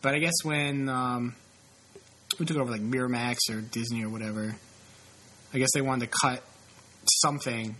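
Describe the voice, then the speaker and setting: A man's voice: medium pitch, steady tone, normal speed, long pauses, pushed and bright, a little hiss or hum. A man in his late twenties with a stuffy, sniffly nose, chatting casually in a rambling, profane, self-mocking way. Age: late 20s. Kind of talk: casual chat.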